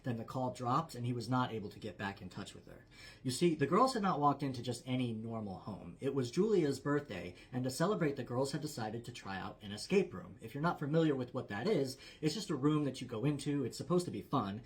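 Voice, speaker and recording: A male storyteller speaks in a medium-pitched voice. He narrates a true story as if around a campfire, leaning on key phrases for drama while keeping his wording conversational. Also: very animated, fairly quick. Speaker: storyteller, host.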